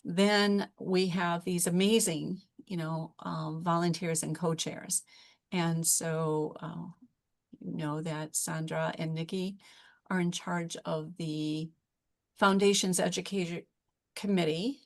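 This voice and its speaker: A woman informally presenting her organization on a work call, hesitating and pausing often. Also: lowish voice, level tone, gravelly.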